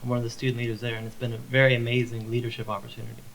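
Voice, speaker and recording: A man's voice: medium pitch, monotone, very fast, gravelly, fairly bright tone, a little hiss or hum, rough recording. A young man, a student on a panel, talking eagerly and repeating himself. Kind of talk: panel talk.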